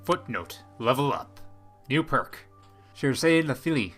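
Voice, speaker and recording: A man's voice: medium pitch, lively intonation, unhurried, fairly bright tone, faint music behind. A man reading scripted, game-style footnote announcements as the announcer of an audiobook.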